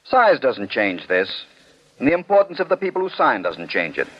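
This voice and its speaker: A stern official in an old radio play, medium in pitch and widely inflected. He argues with skeptical authority, in muffled 1940s broadcast sound. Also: huge pitch swings, moderate pace. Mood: stern, adamant. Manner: authoritative, argumentative. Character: stern, skeptical, unbending male police commissioner. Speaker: commissioner.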